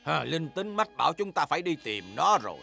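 A man voicing a wise, concerned elderly elf leader with a gravelly voice in a children's cartoon. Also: on the high side, sing-song, brisk, pushed and bright, exaggerated voice. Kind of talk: dubbed children's cartoon acting. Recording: music behind.

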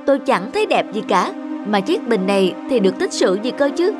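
Young cartoon farm wife, practical, plain-spoken, high voice, music behind. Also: female voice, sing-song, a little fast. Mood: unimpressed. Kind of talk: cartoon folk tale acting.

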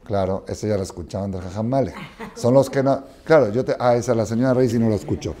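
A man in his sixties gives a Torah lesson from a lectern in a study hall, with a low, mellow voice. He talks expressively, acts out parables in dialogue and keeps checking that his listeners follow. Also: fast. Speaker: rabbi teaching a class. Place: study hall with wooden chairs, indoors.

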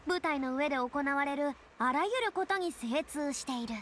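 A woman's voice: sits high, normal speed, a bit bright, slight background noise. An actress voicing a proud, theatrical young woman, a retired star with boyish turns of phrase, in an anime-style game. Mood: self-important. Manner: haughty, dramatic, speaks with boyish self-reference. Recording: studio voice over game music.

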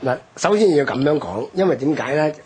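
Husky older Hong Kong man. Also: fairly high pitch, animated, a little fast, a little mellow. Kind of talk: recounting history in a radio talk.

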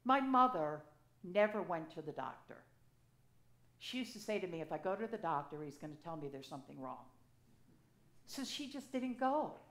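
A woman in her sixties, an assisting priest, preaching a sermon from the pulpit in a conversational, storytelling way with lively gestures, speaking into a pulpit microphone. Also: fairly low pitch, moderate pace, long pauses. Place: church sanctuary, at a pulpit. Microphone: gooseneck pulpit mic. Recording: echoey church sanctuary.

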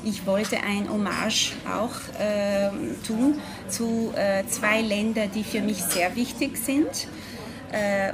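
A woman in her thirties from Italy, a pianist speaking German with an Italian accent, presenting her concept at a press conference and often pausing with fillers while she searches for words. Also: medium pitch. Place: ornate café room with audience. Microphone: table microphone at a distance. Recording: room with a loud crowd.

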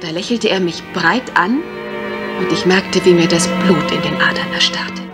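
An actress playing an anxious, genteel young guesthouse owner in a German radio crime drama. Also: female voice, lowish voice, moderate pace, over loud music, lightly acted. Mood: troubled recounting.

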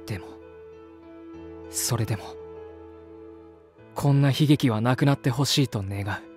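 Earnest man with a mid-pitched voice, acted. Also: measured pace, a little mellow, soft background music, close to an everyday voice. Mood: reflective sorrow. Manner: polite, reflective. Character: earnest, peace-loving, uneasy male visitor and narrator. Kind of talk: voice drama dialogue and inner monologue.

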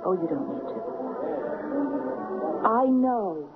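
Here is an anxious young lover in a seventies radio play. She is earnest and pleading, with dramatic sweeps of pitch. Music sits behind the muffled broadcast sound. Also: big pitch swings, slow pace. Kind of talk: 1970s radio drama acting.

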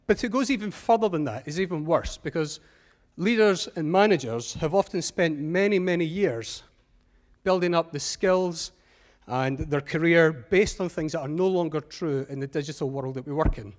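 A middle-aged Scottish man giving a measured, earnest talk on a large auditorium stage through a headset mic. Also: a little high. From Scotland. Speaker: conference speaker.

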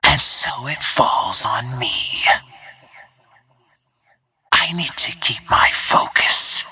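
An actress playing a stealthy, menacing female assassin in a video game, speaking in a hushed, husky voice with cold confidence and slow, deliberate delivery. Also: extremely low pitch, dry recording, heavily acted. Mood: hushed, focused. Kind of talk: video game combat voice lines.

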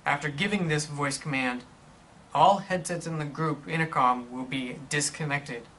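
A man reading scripted instructional narration in a measured, explanatory manner for a product tutorial. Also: a little high, a bit bright, slight background noise, rough recording.

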